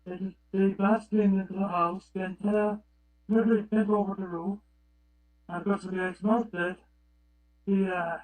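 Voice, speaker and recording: A man's voice: sits high, a little mellow, low-quality recording. A man from Canada chatting casually as a participant on a radio club net, talking in an anecdotal style. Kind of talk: casual chat, telling an anecdote.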